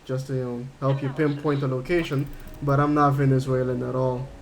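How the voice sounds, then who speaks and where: A man's voice: mid-range voice, flat delivery, brisk, a little hiss or hum. A man from Curaçao streaming a game, chatting casually and answering his chat in a rambling way. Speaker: gaming streamer.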